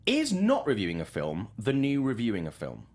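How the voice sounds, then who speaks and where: A man's voice: mid-range voice, huge pitch swings, normal speed. A man hosting a casual panel chat about films as a journalist. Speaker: talk show host and film journalist.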